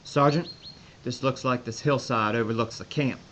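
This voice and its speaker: An actor playing a blunt, commanding American army major in a World War Two audio drama. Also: male voice, mid-range voice, moderate pace, a bit bright, lightly acted. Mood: determined, commanding. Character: gruff, eager American army major, man. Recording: slightly muffled, noisy ambient backdrop.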